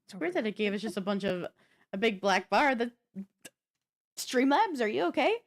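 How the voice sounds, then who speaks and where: A woman co-hosting a show in casual chat, with a halting manner full of "like". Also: on the high side, sing-song. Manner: halting, uses "like" often. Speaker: show co-host.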